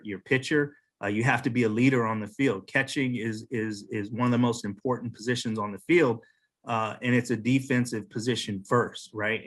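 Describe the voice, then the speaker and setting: A man with a medium-pitched voice speaks as a mentor on a panel. His delivery is earnest, encouraging and conversational, with deliberate phrasing. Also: fairly bright tone. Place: virtual panel over video call.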